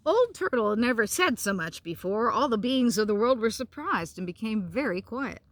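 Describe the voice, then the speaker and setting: A woman reading a children's picture book aloud outdoors, with expressive phrasing and casual asides. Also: fairly high pitch, moderate pace, no room sound. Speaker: storyteller, pastor. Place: outdoors in a park.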